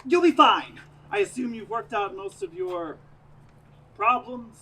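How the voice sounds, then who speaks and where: A man's voice: high voice, expressive, unhurried, a bit bright. A man playing a pompous, scornful radio director with a grandiose ego in a theatrical community stage performance. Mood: dismissive. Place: outdoor stage in a parking lot. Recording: distant mic with noisy outdoor ambience.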